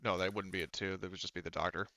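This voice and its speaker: A man running a tabletop game as game master, giving casual rulings and narration in a halting way, thinking aloud. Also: low-pitched, level tone, sharp tone, no room sound, clean studio sound.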